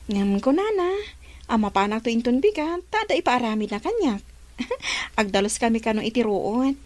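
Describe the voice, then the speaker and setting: A woman's voice: a little high, sing-song, moderate pace, a little mellow, slight background noise. An actress playing a loyal, trusting, easily hurt young woman in love, in a radio drama with plaintive delivery. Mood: chatty.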